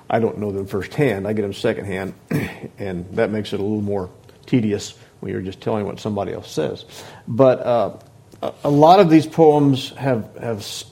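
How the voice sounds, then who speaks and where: Man, Bible teacher, husky voice, halting speech, frequent throat clearing, mic in a church room. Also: fairly low pitch, warm tone. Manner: hesitant, many restarts and fillers; clears his throat often. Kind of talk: informal Bible class talk. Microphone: microphone on a sound system.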